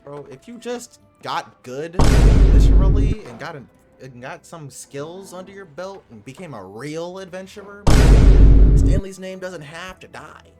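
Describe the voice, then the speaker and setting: A man's voice: high voice, lively intonation, a little slow, loud music behind the voice. A young man giving casual gameplay commentary, slangy and reactive with mock outrage. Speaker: gaming video creator.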